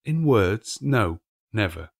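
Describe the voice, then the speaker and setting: A man voicing a gentle, sorrowful but resolute young woman in a dramatic audiobook, speaking softly and in a measured, earnest way. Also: lowish voice, sing-song, vocal fry, full character voice. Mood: sad, steady. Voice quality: soft.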